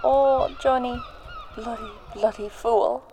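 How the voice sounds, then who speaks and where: A woman's voice: high voice, huge pitch swings, takes it slowly, vocal fry, noisy background, full character voice. An actress playing an anxious, tender wife and mother in a radio drama. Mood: tearful fondness.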